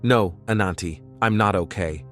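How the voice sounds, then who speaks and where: Male actor as bitter, worn soldier narrator for audio comic: lowish voice, somber terse storytelling, light music bed. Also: normal speed, fairly bright tone. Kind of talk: scripted first-person narration in audio comic.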